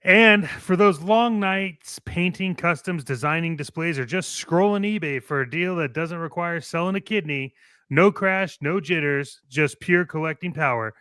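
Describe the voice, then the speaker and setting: A male co-host with a fairly high, clean and resonant voice. He sounds playful and keen, shifting between promotional reads and loose chat, recorded close and dry. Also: measured pace, sharp tone, clear, resonant tone, dry recording. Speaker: co-host of a toy collecting radio show. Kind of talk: hyped ad reads and casual co-host banter.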